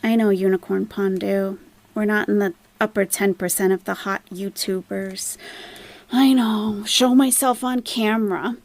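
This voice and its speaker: Slow-paced woman livestream host with creaky voice. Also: medium pitch, steady tone, no room sound. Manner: emphatic, explanatory, rhetorical questions. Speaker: livestream host, YouTube commentator. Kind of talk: casual live commentary, chatting with viewers.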